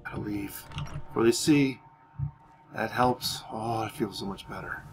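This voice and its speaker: A man's voice: on the low side, a little slow, over music. A man voicing a hungry, scheming vampire necromancer in a video game roleplay, musing in a sinister way. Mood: brooding, wary.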